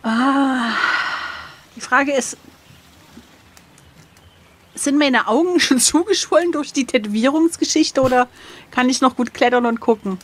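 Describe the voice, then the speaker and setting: Female player in a gamebook session, fairly high voice, informal, joking, quick to exclaim. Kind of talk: casual playful chat.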